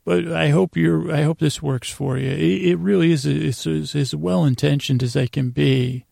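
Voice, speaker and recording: A man hosting a bedtime podcast in a creaky, gravelly voice, meandering and halting, trailing off and circling back with deadpan goofy nonsense. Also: a little high, no echo, studio quality. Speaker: podcast host. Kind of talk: rambling bedtime sleep podcast monologue.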